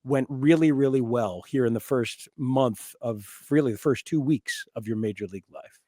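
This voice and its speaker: A man's voice: medium pitch, dark tone, no echo, studio quality. A man hosting a conversational sports interview, repeating words as he forms his thoughts. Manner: halting, repeats words while forming thoughts. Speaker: podcast host interviewer.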